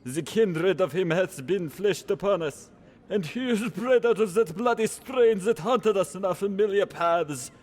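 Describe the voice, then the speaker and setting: A man's voice: sits high, animated, unhurried, darkish tone. A man playing a wary, regal French king in solemn, declamatory Shakespearean radio theater. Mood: grave, fearful.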